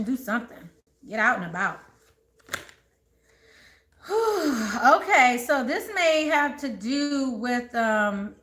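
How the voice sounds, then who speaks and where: A woman's voice: fairly high pitch, sharp tone. A woman reading tarot cards live, chatty, laughing a lot and talking straight to her viewers. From born in Texas, raised in the Maryland and DC area. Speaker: tarot reader. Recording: echoey room with faint noise.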